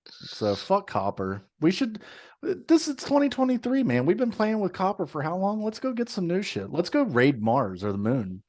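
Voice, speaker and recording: A man's voice: sits high, huge pitch swings, normal speed, a little mellow. A man co-hosting a podcast in casual chat, ranting with profanity and disbelief. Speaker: podcast co-host.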